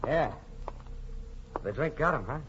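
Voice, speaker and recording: A man's voice: mid-range voice, expressive, a little slow, a bit bright. An actor playing a spiteful young gunman henchman, curt, sneering and slangy, in 1940s radio style. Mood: vindictive, sneering. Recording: muffled, noisy 1940s radio broadcast.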